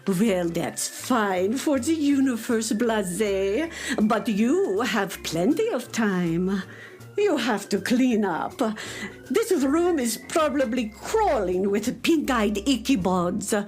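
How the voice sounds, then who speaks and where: A woman's voice: on the high side, big pitch swings, takes it slowly, a little mellow, faint music behind. A woman playing a patient but firm cat mother in a children's radio play, nagging with exasperation. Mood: firm, lecturing.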